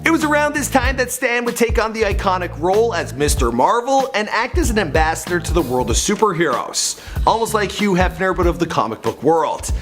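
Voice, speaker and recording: A man's voice: high voice, big pitch swings, brisk, hardly any pauses. A man delivering a scripted biography narration like a showy storyteller, with conversational asides. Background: background music.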